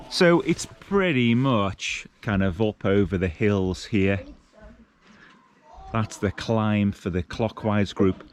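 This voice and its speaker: A man giving casual, conversational field-test commentary as he vlogs outdoors. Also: lowish voice. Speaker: vlogger, hiking group leader. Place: outdoors on mountainsides. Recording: noisy outdoor recording with clicks.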